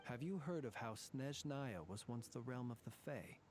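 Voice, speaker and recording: A man voicing an ancient male fae in human guise in a video game, calm and formal, who sometimes drops to near whispers. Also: mid-range voice, normal speed, background noise, lightly acted. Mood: solemn. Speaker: lightkeeper guard.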